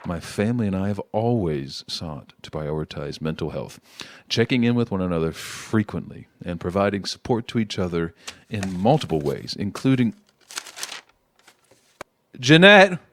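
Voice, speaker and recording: A man acting in an audio drama as a middle-aged politician father, educated, defensive but caring, speaking in a conversational, gently questioning way. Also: deep voice, very animated, normal speed, slight background noise. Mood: earnest.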